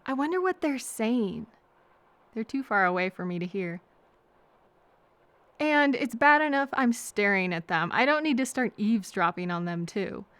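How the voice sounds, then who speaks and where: A woman playing a kind, anxious, chatty traveler who gently overthinks and thinks aloud in a hesitant, earnest audio roleplay. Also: fairly high pitch, expressive, normal speed, no echo. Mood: gentle, curious.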